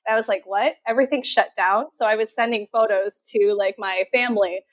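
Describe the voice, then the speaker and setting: A woman's voice: medium pitch, steady tone, fast. Canadian woman, slightly muffled lo-fi sound. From Southern Ontario, Canada. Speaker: podcast guest. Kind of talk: casual interview chat.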